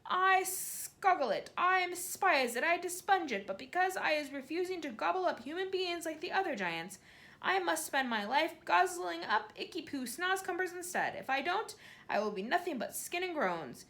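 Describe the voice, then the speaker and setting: A woman voicing a huge, very old and kindly male giant who jumbles his words with eager, excitable made-up phrases in a storybook reading. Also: very high voice, moderate pace, pitched above the performer's own voice, exaggerated voice. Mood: earnest, explaining. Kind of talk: storybook read-aloud.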